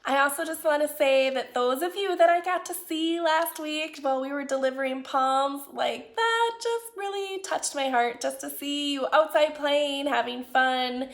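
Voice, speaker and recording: A woman hosting a children's story time, talking and reading aloud in an expressive, deliberate way. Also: high voice. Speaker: children's and families director reading stories. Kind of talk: warm greeting and read-aloud children's storytelling.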